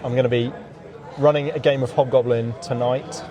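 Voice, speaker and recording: A man with an average-pitched voice gives a relaxed, informal interview as a game designer. He is recorded outdoors in a courtyard, with plenty of people chattering behind him. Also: normal speed. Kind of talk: casual chat. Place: outdoors in a courtyard at a gaming event. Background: crowd chatter.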